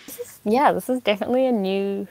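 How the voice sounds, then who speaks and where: A woman's voice: medium pitch, quick pace, darkish tone, no echo. A woman with a British-Kiwi accent, co-hosting a podcast in bubbly, self-conscious chat. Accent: British and New Zealand mix. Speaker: podcast co-host. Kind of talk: casual chat.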